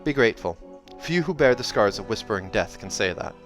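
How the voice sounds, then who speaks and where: A man voicing a guarded, quick-tempered young village woman with rustic, clipped phrasing, in a fantasy audiobook reading. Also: on the low side, lively intonation, soft background music, close to an everyday voice. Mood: formal, edged. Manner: rustic, clipped phrasing with shifting put-on vowels.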